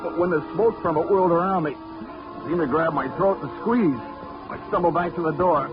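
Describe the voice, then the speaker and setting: A man's voice: a little high, moderate pace, close to an everyday voice. An actor playing a hardboiled insurance investigator who narrates his own case in a 1940s radio drama, with dry wisecracks. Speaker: narrator and lead. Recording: dull 1940s radio broadcast with music.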